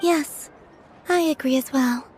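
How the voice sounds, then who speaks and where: A woman playing a gentle, serene young woman in a video game, with a calm, measured manner. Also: high voice, faint music behind. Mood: agreeing. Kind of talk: video game cutscene acting.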